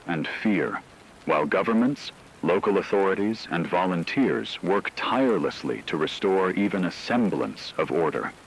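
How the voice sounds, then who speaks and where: A man playing a solemn radio anchor, reading a scripted wartime news broadcast in a measured, formal way. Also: low-pitched, a bit bright, slight background noise, low-quality recording. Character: solemn male radio anchor reporting a world war.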